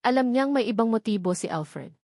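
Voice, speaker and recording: A woman reading a scripted dramatic story as narrator, in a measured, solemn storytelling delivery. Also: fairly high pitch, lively intonation, darkish tone, no room sound, clean studio sound.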